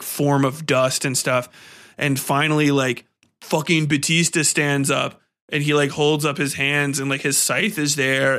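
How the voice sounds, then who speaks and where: A man hosting a podcast, giving a casual, rambling, slangy film review full of swearing and animated, dramatic storytelling. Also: medium pitch. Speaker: podcast host.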